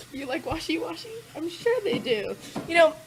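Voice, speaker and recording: A woman's voice: very high-pitched, very animated, unhurried, a little hiss or hum, low-quality recording. A woman on a podcast as the guest, chatting casually and telling stories in a laid-back, profane way, with lots of fillers and laughs. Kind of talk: casual chat.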